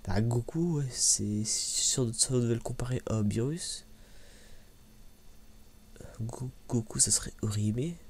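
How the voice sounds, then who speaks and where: A soft-spoken man gives casual ASMR-style commentary, halting and rambling, repeating words and using slang. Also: mid-range voice, slightly rough. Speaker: ASMR video creator.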